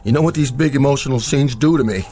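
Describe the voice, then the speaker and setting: An actor playing a vain, petulant, self-important cowboy TV star in a radio drama. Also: male voice, mid-range voice, fast talker, natural voice. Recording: loud music behind the voice.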